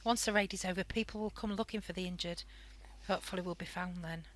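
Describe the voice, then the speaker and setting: Woman acting a gentle, steady wartime mother. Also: on the low side, quick pace, natural voice. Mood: soothing. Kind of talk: amateur radio drama acting.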